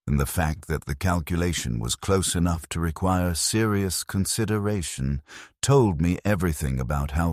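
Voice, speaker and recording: A man with a very deep, husky voice narrates a first-person story in a grave, measured way. His pitch moves a lot, giving the telling a lively rise and fall. The sound is dry and close. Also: dry recording.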